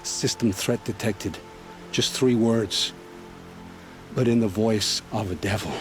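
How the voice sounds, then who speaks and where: A remorseful traitor, speaking slowly and pleadingly in a low voice. Faint music plays underneath. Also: male voice. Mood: haunted. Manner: slow, haunted, pleading.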